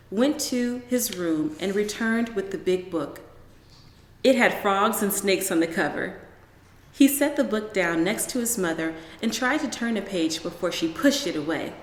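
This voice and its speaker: Animated woman storyteller in an echoey room. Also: mid-range voice. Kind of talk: expressive children's story narration.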